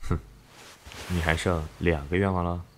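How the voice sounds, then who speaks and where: Man playing a very low-voiced, teasing lover. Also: little pitch movement, moderate pace, a little hiss or hum, close to an everyday voice. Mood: cool, teasing. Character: composed, teasing, dominant male lover in ancient-style romance. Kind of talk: Chinese audio drama acting.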